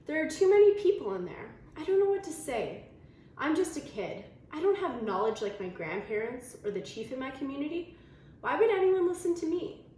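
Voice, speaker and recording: A woman voicing an earnest, excitable young boy in a children's storybook, with expressive delivery. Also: sits high, fairly quick, voice pushed up. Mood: anxious. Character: young Inuit-town boy, excitable, easily daunted, earnest. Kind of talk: children's storybook read-aloud. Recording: very echoey room, faint noise.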